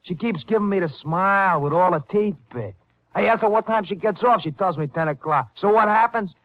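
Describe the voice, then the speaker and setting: A man's voice: sits high, a little fast, close to an everyday voice. An actor playing a young, defiant, wisecracking Brooklyn man in an old-time radio drama, mouthy and slangy. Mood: grumbling, wisecracking. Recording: muffled vintage 1950s radio broadcast.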